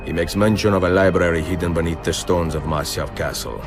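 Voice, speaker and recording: A man plays a weary master assassin narrating a letter in a video game. The voice is exceptionally deep. He is measured, formal and reflective, and music plays clearly behind him. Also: steady tone, close to an everyday voice. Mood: solemn, reflective. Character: weary, thoughtful male Italian master assassin writing to his sister.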